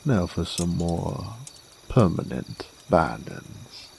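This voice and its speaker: Man playing a gravelly, slow-drawling Western bounty hunter. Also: low-pitched, very animated, darkish tone, slight background noise, exaggerated voice. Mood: smug, controlled. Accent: American Western drawl. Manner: folksy, taunting, unhurried drawl. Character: menacing, sardonic male werewolf bounty hunter in the Old West. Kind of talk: Western audio roleplay, intimate dramatic monologue. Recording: faint outdoor night ambience with crickets. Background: crickets and insects.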